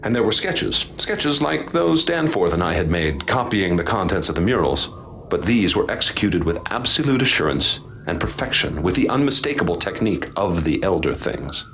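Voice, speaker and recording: A man narrating and acting as expedition leader in a radio drama, grave and measured. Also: low-pitched, very animated, fairly bright tone, slight background noise.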